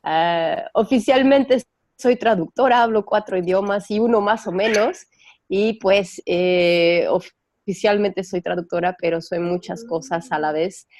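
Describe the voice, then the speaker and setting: Relaxed woman from Belgium, dry close sound. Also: mid-range voice, moderate pace, no room sound. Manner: switches between English and Spanish, translating herself, relaxed with little laughs. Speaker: guest, life coach and translator. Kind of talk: bilingual live stream conversation. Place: home in the Caribbean, morning.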